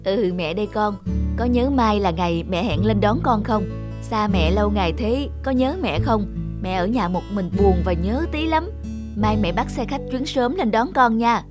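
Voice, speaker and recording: A woman voicing a gentle, lonely village mother in a children's cartoon, speaking softly and affectionately. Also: medium pitch, animated, moderate pace, lightly acted. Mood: wistful. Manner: soft, patient, affectionate. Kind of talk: dubbed children's cartoon dialogue. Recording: music behind the voice.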